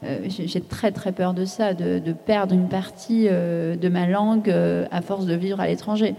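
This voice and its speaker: A fairly low, gravelly woman speaks on a panel with many pauses and restarts. Her tone is dark and mellow, and a long hall reverb trails behind her words. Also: fairly even intonation. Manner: hesitant, with frequent fillers and restarts. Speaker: writer on a panel. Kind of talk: panel discussion.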